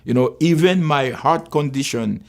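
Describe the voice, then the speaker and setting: A man's voice: mid-range voice, unhurried, gravelly. A Haitian-born man in his sixties, a pastor who speaks Creole first, telling his life story earnestly in plain, repetitive English with a Haitian accent. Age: 60s. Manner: earnest, simple phrasing, repeats himself. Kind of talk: personal testimony.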